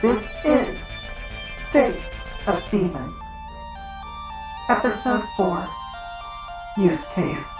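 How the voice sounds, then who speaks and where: A woman reading a scripted episode recap as narrator, in a measured, announcer-like delivery. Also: mid-range voice, lively intonation. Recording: echoey, distant, music clearly behind. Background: background music.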